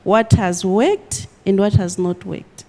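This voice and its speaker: A woman's voice: mid-range voice, slow pace, warm tone. A woman acting as session facilitator, putting questions to a group for discussion.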